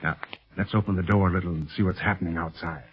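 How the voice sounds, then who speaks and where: An actor playing a calm, protective secret agent mentoring a boy in a 1930s radio adventure serial. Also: low voice, fast talker, husky, faint music behind. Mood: cautious, reasoning. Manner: calm, reassuring, authoritative. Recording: muffled 1930s radio recording.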